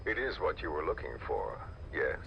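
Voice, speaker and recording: Knowing spy type in a video game, really deep, short probing lines, muffled slightly noisy tape. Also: male voice, big pitch swings, moderate pace, creaky, exaggerated voice. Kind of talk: dramatic video game voice acting.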